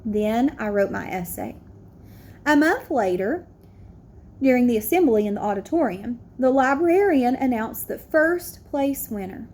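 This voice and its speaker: A woman reading a children's picture book aloud expressively, pausing to explain to young listeners. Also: fairly high pitch, animated, darkish tone, a little hiss or hum. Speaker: read-aloud storyteller.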